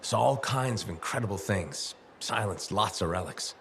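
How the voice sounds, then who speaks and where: Game role: casual, reassuring male adventurer, deepish voice over light noise. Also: expressive, moderate pace, creaky, a bit bright. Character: easygoing young-hearted male adventurer, dutiful son. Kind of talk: video game voice acting.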